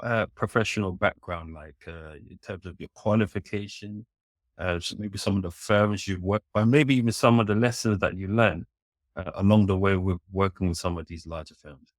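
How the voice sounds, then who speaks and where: A man with a fairly low voice hosts a podcast, asking long, rambling questions full of fillers. The recording is dry and close. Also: moderate pace, dry recording. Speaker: podcast host. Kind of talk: podcast interview.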